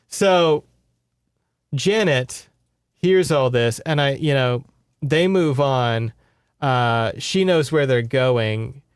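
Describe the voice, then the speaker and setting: Clear-voiced man casually recapping a book. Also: medium pitch, very slow, long pauses, no echo, studio quality. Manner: informal, sweary, rambling storytelling. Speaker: podcast host giving a book report.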